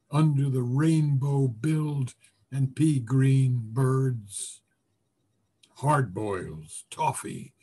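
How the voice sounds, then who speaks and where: A man with a husky voice reading a literary story expressively, savoring the words with deliberate pauses. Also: on the high side, warm tone. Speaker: voice actor, story reader. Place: remote video call presentation.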